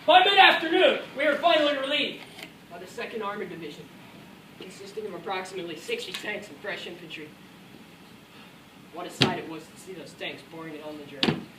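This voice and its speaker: A man's voice: sits high, lively intonation, fairly quick. A man playing a dutiful American Second World War paratrooper, recounting combat in an earnest, rehearsed dramatic narration. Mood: reflective. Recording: echoey room from far off, faint noise.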